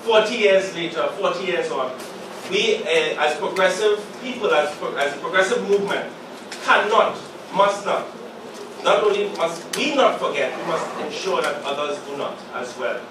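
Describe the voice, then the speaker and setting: A man in his forties with a sore throat from a cold, giving measured opening remarks as moderator of a panel discussion to a live audience. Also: a little high, lively intonation, noisy background. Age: 40s. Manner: measured, halting, with many pauses and fillers. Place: small meeting room with a live audience. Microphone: podium mic, filmed from the back of the room. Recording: echoey room recorded from far off.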